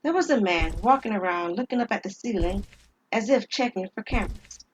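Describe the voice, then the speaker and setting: A woman's voice: mid-range voice, lively intonation, fairly quick, slight background noise, rough recording. A woman narrating a scripted dramatic story as the storyteller.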